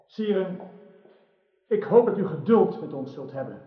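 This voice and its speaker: A woman's voice: fairly low pitch, lively intonation, measured pace. A woman playing a humble, frank young country lad at a royal court in a radio drama, earnest and formal. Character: young rustic knight, male, humble and frank. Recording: dull, roomy radio drama recording.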